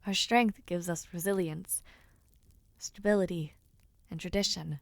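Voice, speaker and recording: Unhurried, earnest young noblewoman acted by a woman. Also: fairly low pitch, fairly even intonation, gravelly, no room sound. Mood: earnest, proud. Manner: gently formal, refined phrasing, unhurried and sincere. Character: earnest young noblewoman, aspiring knight, affectionate and self-deprecating. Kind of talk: audio roleplay, intimate one-sided dialogue to listener.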